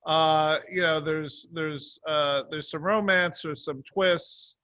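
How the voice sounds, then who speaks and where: A man's voice: on the high side, fairly even intonation, slow pace, bright, forceful tone, dry recording. A man answering interview questions casually as a show's co-creator, rambling and hesitant, with self-deprecating asides. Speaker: TV series co-creator, interview guest.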